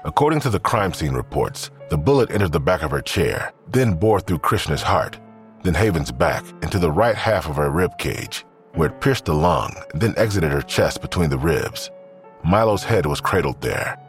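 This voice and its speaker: Male narrator for a crime audio drama: very low register, animated contours, grave measured read, faint score. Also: soft background music. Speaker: narrator of a fiction podcast.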